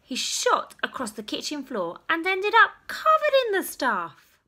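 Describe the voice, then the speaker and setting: Casting: female narrator for children's books, unhurried and highly expressive, stretching key words for drama. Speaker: storyteller reading aloud to children. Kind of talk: animated children's story narration.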